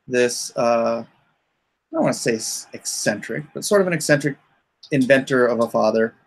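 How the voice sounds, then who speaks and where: A man in a casual unscripted chat, explaining his creative project in a halting way as he searches for words. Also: mid-range voice, animated.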